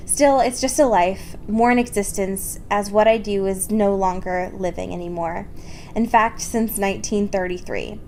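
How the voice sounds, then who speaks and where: Woman reading as wistful, brisk female vampire narrator. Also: mid-range voice, a little mellow, a little hiss or hum, natural voice, low-quality recording. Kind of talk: reading fan fiction aloud.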